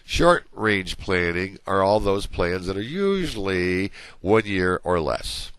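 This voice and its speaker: Low-voiced man lecturing, voice going hoarse. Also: expressive, slow pace, a bit bright, no echo. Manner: conversational, explains with everyday examples. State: losing his voice. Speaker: college management instructor. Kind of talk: recorded slide lecture.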